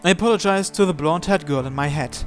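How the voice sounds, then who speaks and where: A man's voice: a little high, fast talker, faint music behind. A man hosting a visual novel playthrough, reading the first-person narration aloud.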